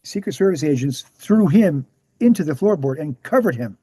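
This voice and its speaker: Casting: man to play earnest male author-lecturer, very mellow and soft-edged, slightly high pitch, measured explanations, dry close sound. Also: animated, breathy edge, no echo. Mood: grave. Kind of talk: screenplay table read, explanatory lecture.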